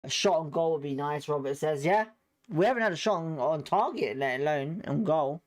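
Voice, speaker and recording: A man's voice: a little high, lively intonation, moderate pace. A man with a British accent giving informal live football commentary as a fan host, blunt, slangy and sweary in his reactions.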